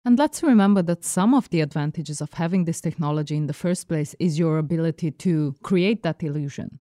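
A woman co-hosting a podcast, making measured, reasoned points in casual discussion. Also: sits low, dark tone, no echo, studio quality. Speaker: podcast co-host.

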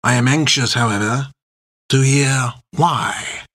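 A man voicing a coldly menacing old French prison-ship captain in an audiobook, with a French accent and slow, mock-courteous speech that savors words. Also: mid-range voice, a bit bright, dry recording. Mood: sinister relish. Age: older.

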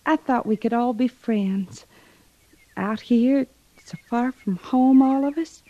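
An actress playing a gentle, weary, pregnant young frontier woman with an American accent, earnest and halting, in an old-time radio drama. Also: a little high, dry recording. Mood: hurt, earnest. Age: young adult. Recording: dull vintage radio recording.

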